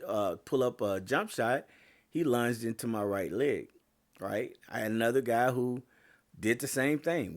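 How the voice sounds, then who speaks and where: A man hosting a sports podcast, talking casually with lots of fillers and restarts, his voice getting a little hoarse. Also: medium pitch. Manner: rambling, many fillers and restarts. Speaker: podcast host.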